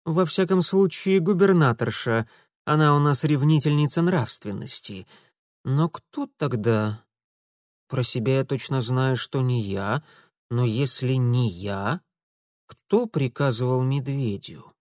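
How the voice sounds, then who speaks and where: A man voicing a proud, quick-tempered young nobleman who speaks imperiously and indignantly. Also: medium pitch, dry recording, clean studio sound, natural voice. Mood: haughty.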